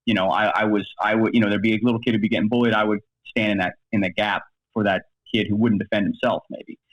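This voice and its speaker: A man's voice: fairly low pitch, steady tone, quick pace, dry recording, lo-fi sound. A middle-aged American man from Maryland, an attorney giving rambling, conversational interview answers. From Maryland, United States. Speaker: podcast guest, attorney.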